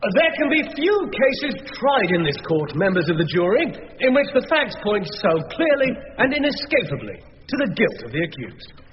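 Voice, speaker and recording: An actor playing a pompous, confident English prosecuting barrister in a radio drama, with formal, emphatic delivery. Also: male voice, high-pitched, wildly swooping pitch, normal speed. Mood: pompous, assured. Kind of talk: radio drama courtroom acting. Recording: echoing hall, dull sound, faint noise.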